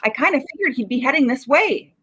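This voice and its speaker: High, bright radio detective played by a woman. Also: expressive, fast talker, slightly rough, exaggerated voice. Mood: placating. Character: plain-spoken, placating police detective pal. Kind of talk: 1940s radio acting.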